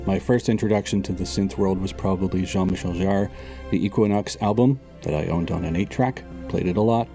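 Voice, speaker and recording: A man in his forties, a composer talking about his past in measured, reminiscing answers with soft fillers. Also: sits low, steady tone. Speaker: composer guest. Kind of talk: recorded interview answers. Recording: music clearly heard behind voice. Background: synth music playing.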